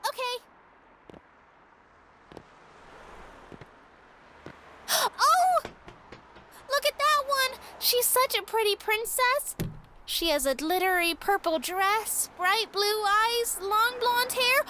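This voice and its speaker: Squeaky, spoiled little girl. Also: slow, noisy background. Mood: excited. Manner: whiny, wheedling, bratty. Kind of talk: radio drama acting.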